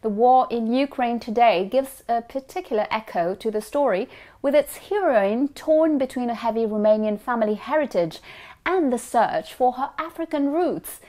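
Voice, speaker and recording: A woman presenter reading a scripted broadcast introduction in a smooth, even delivery. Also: a little high, normal speed.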